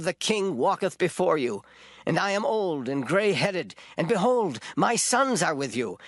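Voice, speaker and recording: An actor playing an old, gray-headed prophet, solemn, stern and declamatory, in a dramatized scripture reading. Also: male voice, a little high, a bit bright, dry recording, studio quality, full character voice. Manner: declamatory, grave.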